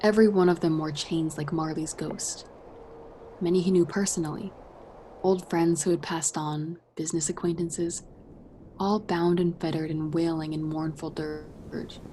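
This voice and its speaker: A woman narrating a ghost tale in a radio play with a breathy voice and measured, dramatic delivery. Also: sits low, fairly even intonation, mellow, slight background noise. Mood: measured, eerie. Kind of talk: scripted narration.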